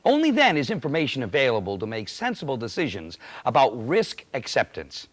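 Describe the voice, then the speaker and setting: A man's voice: mid-range voice, wildly swooping pitch, a little fast. A man acting as a plain-spoken, emphatic presenter, giving scripted instruction in a corporate training film. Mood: emphatic, earnest.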